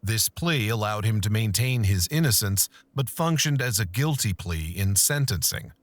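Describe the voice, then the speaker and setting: A man narrating a true-crime documentary in a measured, scripted storytelling manner. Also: fairly low pitch, lively intonation, dry recording.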